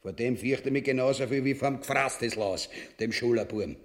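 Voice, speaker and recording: A man's voice: medium pitch, brisk, natural voice. An actor playing a greedy, boorish usurper king and husband in a grotesque radio drama, blustering and commanding in an Austrian accent. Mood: scornful.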